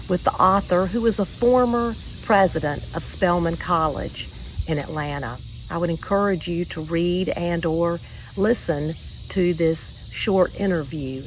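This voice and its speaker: Lower-voiced woman addressing families, noisy background. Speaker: church story-time reader and presenter. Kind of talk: read-aloud and earnest address to families.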